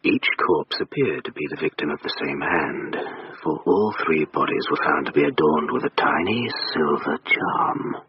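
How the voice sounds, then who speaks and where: Brooding male station spirit, hushed and ominous, poetic radio narration, muffled with faint hiss. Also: a bass voice. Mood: grim, ominous. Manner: slow, solemn, hushed.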